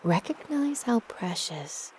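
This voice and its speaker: A woman narrating a guided meditation in a soft, breathy, gentle and soothing manner with long pauses. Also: medium pitch, very animated, slight background noise, poor audio.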